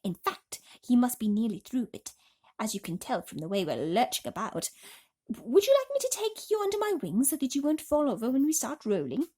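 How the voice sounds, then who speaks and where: A woman voicing a kind, gentle female ladybird from a storybook, reassuring and emphatic. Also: on the high side, wildly swooping pitch, a little fast. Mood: explaining, reassuring.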